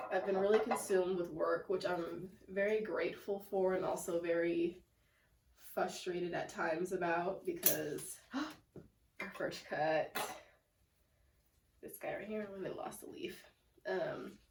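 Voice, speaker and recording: Congested raspy young woman vlogging. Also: mid-range voice, background noise. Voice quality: raspy and nasal. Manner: chatty and self-deprecating, lots of "like" and "um". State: sick, congested. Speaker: plant vlogger. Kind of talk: casual rambling vlog chat. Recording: echoey room, mic at a distance.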